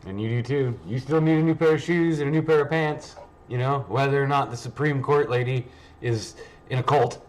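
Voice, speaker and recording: A man's voice: mid-range voice, brisk, a little hiss or hum, rough recording. A man hosting a livestream, rambling casually and reading news aloud in a blunt, sweary, offhand way. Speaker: livestream host.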